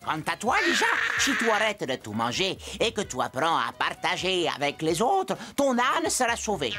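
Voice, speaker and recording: A woman's voice: lowish voice, big pitch swings, moderate pace, over loud music. A woman voicing a kindly, exuberant magician in a children's cartoon, theatrical and full of exclamations. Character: kindly, exuberant magician neighbour, casting playful spells. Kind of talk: animated children's cartoon acting.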